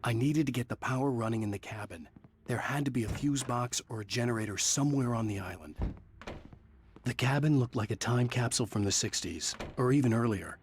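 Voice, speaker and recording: An actor playing a troubled, weary American writer in a game, with brooding, terse dramatic narration and dialogue. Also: male voice, mid-range voice, a little fast, slight background noise, lightly acted. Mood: reflective. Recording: music behind the voice.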